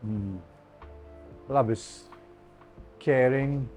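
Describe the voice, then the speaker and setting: A man in his fifties from Malta, a non-native English speaker, talking casually and haltingly in an interview. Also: medium pitch, a little mellow, faint music behind. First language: not English. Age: 50s. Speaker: cafe owner, interviewee.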